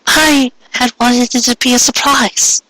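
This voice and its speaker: A bashful, loving pony wife from a storybook fan fiction, acted out by a man. The voice is pitched well up, bright and breathy. She speaks haltingly, with sweet hesitation. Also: fairly even intonation, full character voice, low-quality recording. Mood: shy, earnest. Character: tender, bashful married female cartoon pony.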